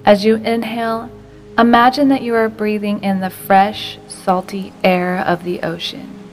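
A woman narrating a guided meditation in a slow, gentle, unhurried way, with softly breathed phrase endings. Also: mid-range voice, level tone, soft background music.

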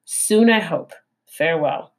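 A polite storybook robot who chooses her words with stiff, careful formality, as a woman reading aloud puts her on. The voice sits fairly low with a husky grain. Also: animated, slow, full character voice. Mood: overly polite. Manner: stiff, overly formal, precise.

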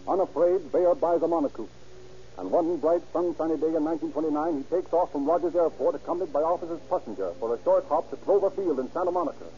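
A declamatory old-radio narrator. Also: male voice, on the high side, dark tone. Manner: measured, declamatory. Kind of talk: scripted dramatic narration. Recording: muffled old radio recording over music.

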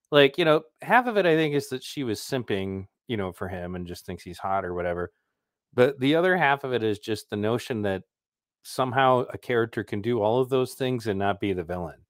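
A man's voice: mid-range voice, no echo, studio quality. A man hosting a live reaction stream, talking casually and rambling as he reads chat messages aloud. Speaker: livestream host.

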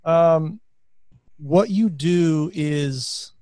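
A man's voice: a little high, extremely slow, a little mellow, no room sound. Guy hosting a podcast, loose chatty rambling, dead dry room sound. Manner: rambling, lots of fillers and restarts. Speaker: podcast host. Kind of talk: casual interview.

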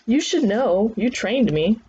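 A woman's voice: fairly high pitch, sing-song, slow pace, warm tone, husky, highs cut off, voice pushed up, heavily acted. A woman voicing a jaded, sarcastic young fighter trainee with a defiant manner in audiobook dialogue. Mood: sardonic.

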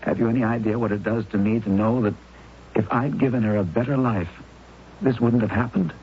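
An actor playing a grieving widower in a 1970s radio drama, speaking in an anguished, intense way. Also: male voice, lowish voice, fairly quick, a little hiss or hum, lightly acted. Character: grieving widower, racked with guilt. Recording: muffled old radio broadcast.

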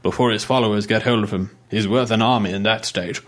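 A man voicing a mild, elderly male army major with a reserved, quiet manner. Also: fairly low pitch, fairly even intonation, fast, close to an everyday voice.

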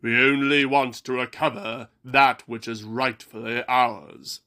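A man voicing a proud, regal male bird-like high priest who speaks in a haughty, measured way in a storybook reading. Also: fairly even intonation, sharp tone.

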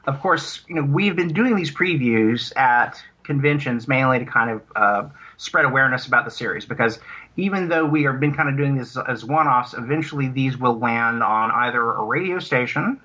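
A man being interviewed as a guest, talking casually in halting phrases on a muffled recording. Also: medium pitch, pushed and bright. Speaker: interview guest, audio series creator. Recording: dull, lo-fi remote sound.

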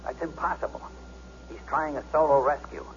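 An actor playing a harried, authoritative police commissioner, urgent and commanding, in a 1970s radio drama. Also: male voice, a little high, steady tone, moderate pace, natural voice. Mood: reassuring, evasive. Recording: muffled, noisy old radio broadcast.

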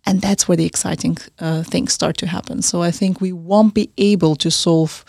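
A woman's voice: on the low side, steady tone, moderate pace, creaky, dark tone, no room sound, clean studio sound. A young woman from Central Asia, raised in Austria, answering podcast questions as a fashion designer and consultant, talking fluently and earnestly.

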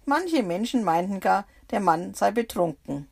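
A woman voicing a calm middle-aged brother-in-law retelling what he heard in a bible story. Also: sits high, a little slow, vocal fry, heavily acted.

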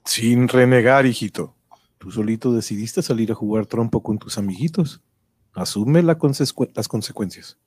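A man playing a patient, firm but loving father in a radio drama, gently instructing in a husky voice. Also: mid-range voice, normal speed, close to an everyday voice. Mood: firm, paternal. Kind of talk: amateur Spanish-language radio drama acting.

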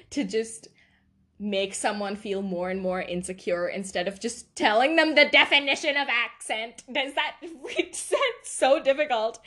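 Accented woman rambling on her podcast, kinda high voice, slow-ish, laughs at herself a lot. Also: a bit bright. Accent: non-native accent. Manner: rambling, self-deprecating, laughs often. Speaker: podcast host. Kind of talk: casual solo podcast chat.

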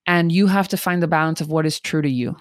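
Cuban American woman, low voice, animated guest, restarts phrases, dry sound. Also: fast, dry recording. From United States. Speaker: guest, theatre company co-founder. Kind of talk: casual podcast interview.

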